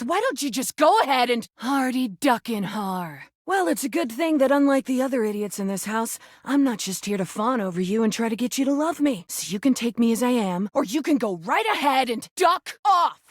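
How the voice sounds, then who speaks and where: A woman's voice: a little high, no room sound, clean studio sound. A woman voicing a crude, flirty, mocking rubber duck come to life in a video game. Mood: combative, scornful. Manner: sassy, mocking, combative, suggestive. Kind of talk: video game character dialogue.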